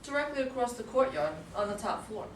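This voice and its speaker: A woman in a staged play reading as an eager, lovestruck office assistant, excitable and theatrical. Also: a little high, fairly quick, close to an everyday voice. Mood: bright, innocent. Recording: distant mics, echoey room, faint noise.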